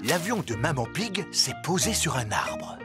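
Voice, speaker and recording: A man's voice: fairly high pitch, sing-song, unhurried. A man playing the storybook narrator of a French preschool cartoon, reading scripted narration. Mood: plain storytelling. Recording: loud music behind the voice.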